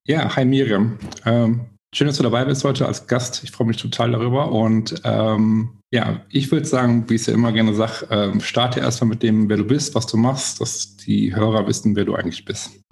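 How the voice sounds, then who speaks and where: A man's voice: fairly low pitch, fairly even intonation, normal speed, creaky, few pauses, a little mellow. A man hosting a podcast in a conversational interview style, feeling his way through questions with repeated words. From Essen, Germany. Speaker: podcast host.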